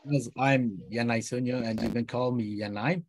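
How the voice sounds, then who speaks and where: A man's voice: mid-range voice, fairly even intonation, moderate pace. A middle-aged man from Myanmar, formally and politely introducing himself as a teacher on a video call. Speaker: teacher panelist. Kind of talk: webinar self-introduction.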